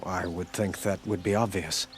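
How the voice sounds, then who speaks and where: A man performs a noble ruler in a game cutscene. The voice is on the low side, with formal, courtly phrasing and gracious earnestness. Music runs underneath. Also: steady tone, moderate pace, natural voice. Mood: admiring, hesitant. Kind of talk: cinematic video game acting.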